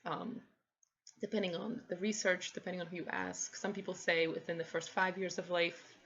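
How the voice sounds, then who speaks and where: A young adult woman, a native Polish speaker from Poland, explaining thoughtfully in a casual talk to camera, often pausing with ums and restarting phrases. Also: fairly low pitch, fairly even intonation, fairly bright tone, lo-fi sound. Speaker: vlogger, parent.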